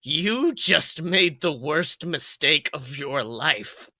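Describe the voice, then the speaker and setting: A man's voice: a little high, lively intonation, slow pace, sharp tone, dry recording, studio quality, exaggerated voice. A man voicing a young male fox in a story, a brave, hot-headed wisecracker with an emphatic delivery. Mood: furious. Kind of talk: storytelling character voice.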